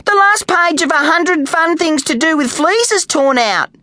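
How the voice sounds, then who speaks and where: A woman's voice: very high voice, huge pitch swings, very slow, bright, forceful tone, no room sound, voice pushed up, exaggerated voice. A woman voicing whiny, squeamish schoolchildren with wailing delivery in a children's storybook. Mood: lamenting. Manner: wailing, screechy.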